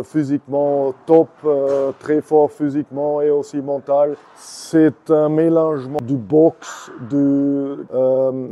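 Flat, dark-voiced coach. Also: male voice, fairly high pitch, dull audio. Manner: slow, searching for words, non-native phrasing. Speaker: head coach. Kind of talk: interview answers.

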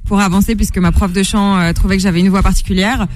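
Soft-spoken woman, slight American accent. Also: medium pitch, steady tone, quick pace, faint music behind. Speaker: pop singer guest. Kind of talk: casual radio interview.

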